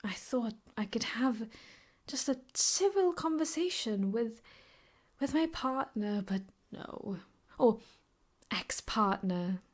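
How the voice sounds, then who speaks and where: A woman playing an obsessive, possessive, sweetly menacing ex-girlfriend in an improvised ASMR roleplay, teasing and often slipping into breathy whispers. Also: a little high, animated, slow. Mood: hurt, accusing.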